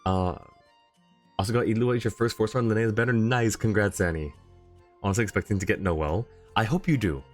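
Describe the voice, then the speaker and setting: A man's voice: lowish voice, fairly quick. A man streaming a video game, giving casual commentary and chatting with viewers in a friendly, informal way. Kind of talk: casual chat and live commentary. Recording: game music behind the voice.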